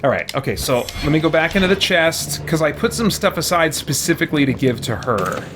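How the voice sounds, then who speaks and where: A man's voice: fairly high pitch, big pitch swings, fairly quick, background noise. A man giving casual gameplay commentary, thinking aloud in a hesitant way. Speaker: let's play host. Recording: game music behind the voice. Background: game music.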